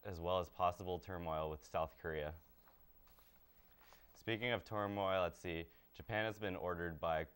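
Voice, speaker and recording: A man's voice: low-pitched, a bit bright, smooth. A young man presenting from a small TV studio, delivering scripted lines in an earnest, rehearsed way. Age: young adult. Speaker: student presenter.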